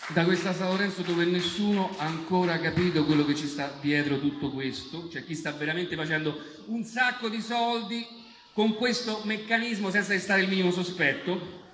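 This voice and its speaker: A man's voice: high-pitched, normal speed, a bit bright, a little hiss or hum. A man with a Roman accent performing a comic stage monologue in an emphatic, colloquial way, building on repeated refrains. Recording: echoey, with music behind. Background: music.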